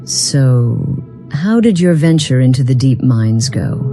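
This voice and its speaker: A woman voicing a weary, once-proud companion in a fantasy game, speaking in a formal, measured and reflective way. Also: very deep voice, animated, warm tone, soft background music, full character voice. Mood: apologetic, reflective. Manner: formal, measured, solemn wording. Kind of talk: scripted dialogue for a fantasy game mod.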